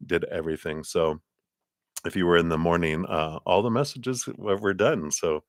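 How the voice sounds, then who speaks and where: Deep-voiced chatty man reading tarot. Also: moderate pace, dry recording, clean studio sound. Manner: rambling, chatty, laughs often. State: tickle in throat, coughing. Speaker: tarot reader hosting a live stream. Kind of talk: casual livestream chat and card reading.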